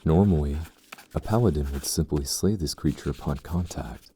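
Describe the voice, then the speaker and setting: An actor playing a thoughtful, curious traveller who narrates dark fantasy lore in a solemn, measured, formal way. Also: male voice, rumbling low, a little hiss or hum. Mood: solemn, reflective. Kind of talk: scripted fantasy audio drama narration.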